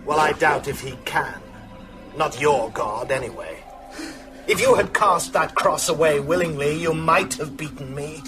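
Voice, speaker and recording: An actor playing a mocking, cruel master vampire in a radio horror drama, with a gravelly voice and theatrical, taunting delivery. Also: male voice, a little high, animated, measured pace, music in the background. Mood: gloating scorn.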